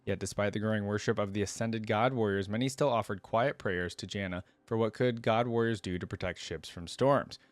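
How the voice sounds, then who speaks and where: A man's voice: medium pitch, dry recording. A man hosting a reaction video, moving between casual, curious commentary and reading lore aloud in a conversational way.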